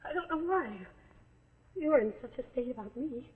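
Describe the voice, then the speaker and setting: A woman's voice: sits high, expressive, moderate pace. An actress playing a frightened, unstable stranded woman, pleading and menacing, in 1940s suspense television style. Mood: menacing, insistent. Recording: tinny, band-limited 1949 television soundtrack.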